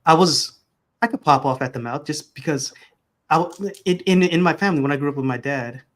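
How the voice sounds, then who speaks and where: A man's voice: a little high, a little fast. A man who grew up in America, a podcast guest speaking reflectively and conversationally, restarting his sentences often.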